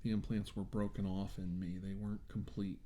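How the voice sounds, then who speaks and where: A man doing an improvised, soft-spoken ASMR role-play as a doctor, talking in a reassuring, halting way. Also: low-pitched, little pitch movement. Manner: reassuring, hesitant, halting delivery. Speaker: ASMR role-player acting as a government doctor.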